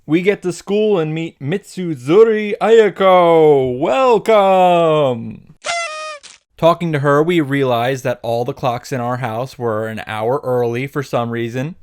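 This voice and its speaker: Brief: male host for comic story recaps, sarcastic, irreverent, happy to swear, clipped punchy lines, medium pitch. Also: moderate pace, hardly any pauses. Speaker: host of a visual novel summary series. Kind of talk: comedic recap narration.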